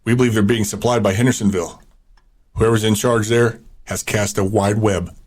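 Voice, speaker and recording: A man's voice: lowish voice, steady tone, normal speed, breathy edge. An actor playing a confident male lieutenant with a self-assured, clipped manner in a post-apocalyptic audio drama. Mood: cocky, measured. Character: confident, cautious male fighter and military lieutenant.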